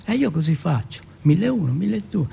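A man's voice: high-pitched, sing-song, fast, creaky, dark tone, slightly rough. A man from performing an autobiographical monologue in a colloquial, streetwise manner. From Turin, Italy.

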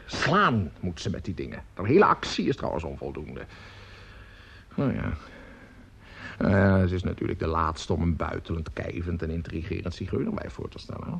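An actor playing a tippling, blustery music teacher and father in a radio play, rambling and halting as he talks. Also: deep voice, lively intonation, gravelly, breathy edge, full character voice. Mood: grumbling. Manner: rambling, halting, prone to mutter and swing up. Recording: dull, noisy radio play recording.

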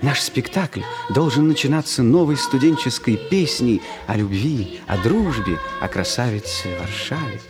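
An actor playing a cheerful young Polish student who narrates a radio comedy, addressing listeners with playful, mock-ceremonial courtesy. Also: male voice, medium pitch, animated, unhurried, few pauses, natural voice. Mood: showy, teasing. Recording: 1960s radio play with music behind.

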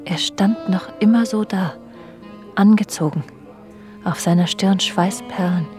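A woman's voice: medium pitch, unhurried, darkish tone, natural voice. An actress playing an introspective, bitterly observant woman narrating her youth in a radio drama, sober and intimate. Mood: tense, restrained. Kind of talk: literary first-person radio drama narration. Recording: music behind the voice. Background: music.

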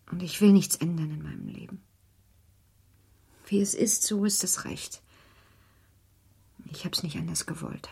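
An actress playing a sorrowful, proud young mother in a German radio play, pleading earnestly and formally in a breathy, restrained voice. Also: low-pitched, dark tone, exaggerated voice.

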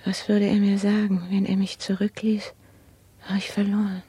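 A woman playing an anxious, humble old maidservant of 59 in a radio play, with a breathy, half-whispered, brooding delivery. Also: medium pitch, completely flat delivery, moderate pace, mellow, dry recording. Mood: anxious, pleading. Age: older. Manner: subdued, repetitive, brooding. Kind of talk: 1960s radio play monologue.

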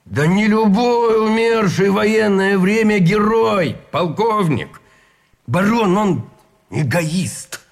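An actor playing a proud, exasperated Russian army officer in a radio play, dismissive and indignant. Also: male voice, sits high, expressive, takes it slowly, heavily acted.

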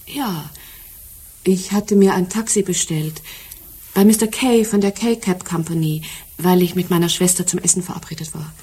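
An actress playing a composed, precise secretary in a German radio crime drama, speaking in a measured, polite way. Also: female voice, on the low side, mellow, slightly rough. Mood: factual, earnest. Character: composed, precise female secretary giving a statement.

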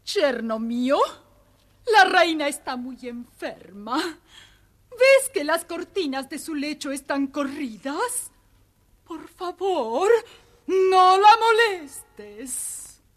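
A woman's voice: sits high, expressive. An actress playing a wicked, scolding stepmother with false sweetness, theatrical, in a dramatized children's story record. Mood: falsely pleading. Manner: theatrical, slow, swooping delivery.

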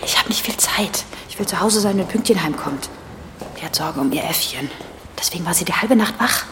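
An actress playing a weary, protective woman in a radio play, speaking in a hushed, guarded, intimate way. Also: on the low side, expressive, fairly quick, noisy background, natural voice. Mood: hurried, wary. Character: weary, protective adult woman caring for a child. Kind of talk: radio play acting, intimate inner monologue.

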